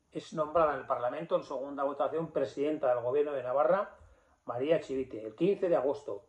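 Man in his sixties, fairly high pitch, emphatic indignant political commentary, roomy sound. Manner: indignant, emphatic, with rhetorical questions and repetition. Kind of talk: opinionated monologue to camera. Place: small office, indoors. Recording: echoey room, slightly dull sound.

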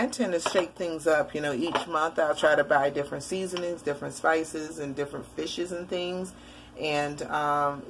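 A woman's voice: low voice, background noise. A woman in her forties chatting casually about her grocery finds, rambling with many fillers, talking to a webcam at home. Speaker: vlogger. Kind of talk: casual grocery haul chat. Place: indoor room at home, shelves behind.

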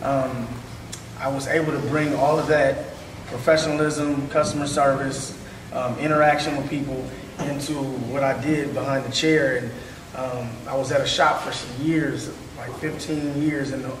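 Barber speaking in an echoey hall. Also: male voice, mid-range voice, steady tone, moderate pace, recorded from a distance, noisy background. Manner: earnest, conversational, many fillers. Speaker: barber panelist. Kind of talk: panel discussion. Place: large hall with seated audience. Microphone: far camera.

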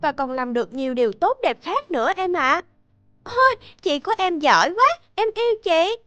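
A woman voicing a kind, earnest young sister in an animated morality tale. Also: extremely high pitch, moderate pace, no room sound.

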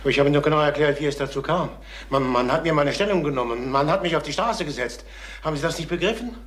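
A man playing a distraught travelling salesman near sixty in a German crime radio drama, halting and repeating himself as he broods. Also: a little high, close to an everyday voice. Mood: defensive, flustered. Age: late 50s.

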